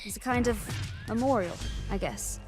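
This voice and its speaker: An actress playing a weary, friendly quest giver in a fantasy video game, speaking in a gentle, understated, earnest way. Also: female voice, on the high side, expressive, normal speed, over music, close to an everyday voice. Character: weary, friendly woman guarding a fantasy settlement. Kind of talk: scripted game dialogue, conversational.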